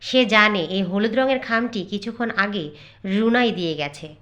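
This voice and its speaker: A woman's voice: medium pitch, normal speed, fairly bright tone. A woman narrating a scripted cartoon story.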